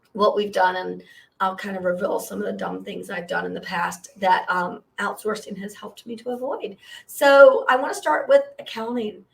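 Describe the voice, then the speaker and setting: Hoarse, scratchy-voiced woman co-hosting a podcast. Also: medium pitch, a bit bright, far from the mic. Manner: laughs often, tells chatty stories. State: hoarse from being sick. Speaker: podcast co-host. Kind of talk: casual live podcast chat.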